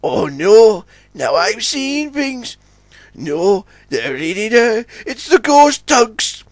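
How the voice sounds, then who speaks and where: A man's voice: on the high side, very animated, a little slow, gravelly, a bit bright, dry recording, heavily acted. A man playing a level-headed but uneasy old tugboat in a children's story, with a wry, muttering manner. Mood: alarmed.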